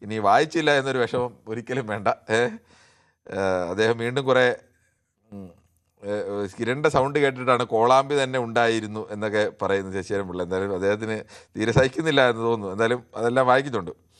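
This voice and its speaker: A man's voice: mid-range voice, fairly quick. A man hosting a live discussion show, reading out viewer comments and answering them argumentatively. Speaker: TV phone-in discussion host.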